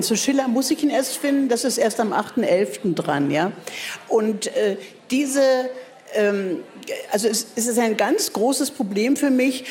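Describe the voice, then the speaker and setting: A woman's voice: on the high side, darkish tone. Older Berlin writer woman, hesitant, wry, self-mocking interview answers. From East Germany. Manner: hesitant, many fillers and restarts. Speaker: writer and guest speaker.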